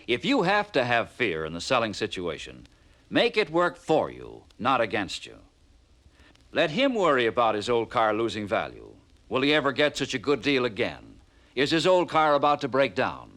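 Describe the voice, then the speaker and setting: Very animated 1950s American male narrator. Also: mid-range voice. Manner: persuasive, punchy, rhetorical questions. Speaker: narrator of a car sales training film. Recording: vintage 1950s film soundtrack.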